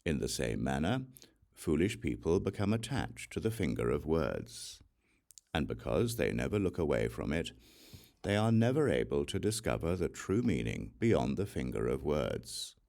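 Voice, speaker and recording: A man reading scripture as audiobook narration, solemn, measured and deliberate in his delivery. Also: extremely low pitch, sing-song, creaky, darkish tone. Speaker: audiobook reader.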